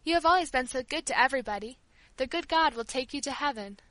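A woman's voice: sits high, lively intonation, fast, a bit bright, no room sound. A woman voicing a kindly, grieving old female servant who tells her tale tenderly in an audiobook. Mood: gentle, recounting. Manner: tender, fond, rambling storyteller. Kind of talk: audiobook character dialogue.

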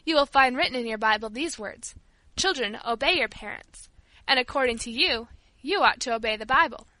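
A woman's voice: a little high, brisk, bright, forceful tone, no room sound, low-quality recording. A woman voicing a cold, strict father in an audiobook, speaking in a curt, formal, authoritarian way. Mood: cold, severe. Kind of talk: audiobook character voice.

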